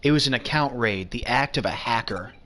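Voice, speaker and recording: A man's voice: medium pitch, brisk, bright, forceful tone, close to an everyday voice. A man narrating as a subdued teenage boy in a game. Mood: glum.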